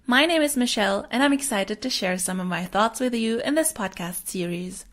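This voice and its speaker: A woman's voice: fairly high pitch, lively intonation, normal speed, fairly bright tone. A young adult woman hosting a solo podcast, a native German speaker talking in a friendly, explanatory way. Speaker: podcast host.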